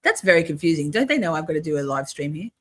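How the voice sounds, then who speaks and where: A woman's voice: low-pitched, big pitch swings, quick pace, a bit bright. An older Australian woman with a mixed accent, chatting casually to her viewers while hosting a live reaction stream. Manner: chatty, talks to chat.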